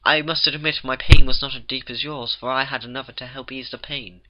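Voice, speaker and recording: A man voicing a genteel, melodramatic female unicorn with drawn-out, affected speech in a cartoon fan story. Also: mid-range voice, fairly even intonation, highs cut off, pitched above the performer's own voice, natural voice. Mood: tenderly confessional.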